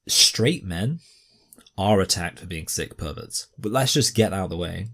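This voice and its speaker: A man's voice: deep voice, expressive, a little slow, a bit bright. A man with a slight cough, hosting an argumentative commentary and talking emphatically with many fillers and restarts. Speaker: commentary host.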